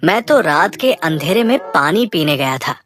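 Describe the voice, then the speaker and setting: A woman's voice: low voice, sing-song, moderate pace, fairly bright tone, soft background music. A woman voicing a respectful, obliging male boar who serves as minister to a jungle king in a cartoon. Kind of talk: Hindi cartoon moral story acting.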